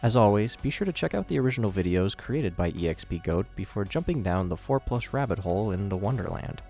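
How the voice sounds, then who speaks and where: Guy doing horror video commentary, deep voice, wry showman delivery, soft music behind. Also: animated, a little fast. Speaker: video host and narrator. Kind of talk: scripted horror commentary narration. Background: background music.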